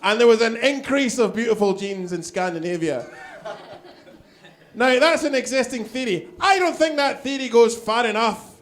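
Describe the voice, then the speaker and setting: A man's voice: very high voice, moderate pace. A man performing a stand-up set in a small bar, telling jokes with dry, wry asides to the crowd. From Scotland. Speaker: stand-up comic.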